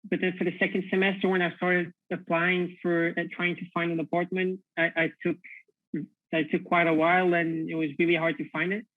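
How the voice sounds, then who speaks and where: A man's voice: sits high, flat delivery, brisk, no echo. Young man on a tinny video call. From Portugal. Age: young adult. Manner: thoughtful, repeats words while searching for them. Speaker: panelist, university student. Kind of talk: online panel answers.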